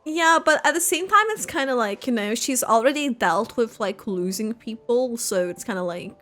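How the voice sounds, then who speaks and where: A woman giving casual, expressive live reactions as she plays a game, with a giggly manner. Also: a little high, moderate pace. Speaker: gaming reaction streamer. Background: game music.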